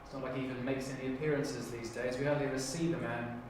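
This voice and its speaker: A man's voice: medium pitch, flat delivery, fast talker, natural voice. Man playing sarcastic Time Lord, very echoey room. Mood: sardonic. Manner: dry, sarcastic. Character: sneering, sceptical male Time Lord councillor. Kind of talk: improvised audition acting. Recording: distant, very echoey room, clear noise.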